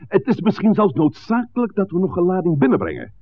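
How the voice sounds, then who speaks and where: A man playing a suave, scheming village pastor in a 1960s radio drama, speaking in formal, measured, courteous sentences. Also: sits high, wildly swooping pitch. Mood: grave, diplomatic. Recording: muffled, noisy old radio recording.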